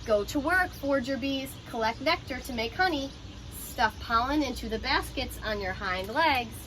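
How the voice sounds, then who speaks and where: Bright, high-voiced woman reading aloud. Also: expressive, moderate pace, a little hiss or hum. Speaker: teacher reading a picture book aloud. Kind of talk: children's storybook read-aloud with explanatory asides.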